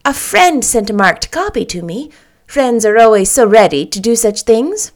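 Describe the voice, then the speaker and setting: A woman doing a brisk, chirpy middle-aged lady. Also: a little high, expressive, close to an everyday voice. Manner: brisk, emphatic. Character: brisk, chirpy little woman near fifty.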